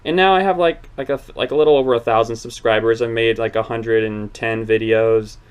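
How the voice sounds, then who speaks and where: A young man in his twenties hosts a relaxed talk and rambles earnestly, restarting his sentences often. His voice is smooth and clear but stays flat in pitch. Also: fairly quick. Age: 20s. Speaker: channel host. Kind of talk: casual interview chat.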